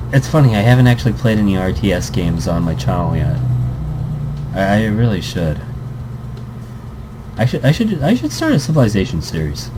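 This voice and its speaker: A man doing casual let's play commentary, reading game text aloud and breaking off into rambling asides. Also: on the low side. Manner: rambling, self-interrupting asides. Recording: noisy amateur home recording.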